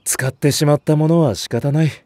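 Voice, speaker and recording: A man voicing a calm, fatherly senior nursery teacher in a voice drama, patient and gently firm. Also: on the high side, a little fast, no echo, close to an everyday voice. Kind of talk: Japanese voice drama acting.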